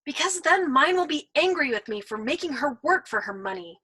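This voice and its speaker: A woman's voice: sits high, big pitch swings, fairly quick, pushed and bright, pitched above the performer's own voice, exaggerated voice. A woman voicing an anxious, earnest young male airman pleading with his friends.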